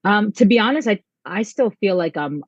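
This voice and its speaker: A woman's voice: mid-range voice, expressive, moderate pace, no echo. Chatty young woman on a dull, dry line. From United States. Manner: chatty, lots of um and like. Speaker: volleyball head coach, guest. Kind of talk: casual interview answers.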